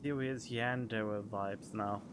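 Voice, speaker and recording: A man's voice: on the low side, fairly even intonation, slow pace, noisy background. A man giving casual let's play commentary as a gaming streamer.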